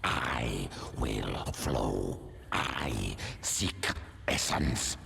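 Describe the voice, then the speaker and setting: Very deep, gravelly alien beast. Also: male voice, expressive, heavy background noise, exaggerated voice. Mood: calm, predatory. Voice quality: guttural, gravelly growl. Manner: terse, halting short declarations. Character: ancient primal alien beast, patient, hungry collector. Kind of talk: video game cutscene acting. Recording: loud music behind the voice.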